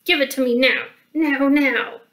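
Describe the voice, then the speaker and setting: A greedy, grumpy little troll bellowing for his money, loud and pushy, as a woman reading aloud puts him on. It is a storybook voice, raised and forceful. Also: high-pitched, slow. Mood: demanding.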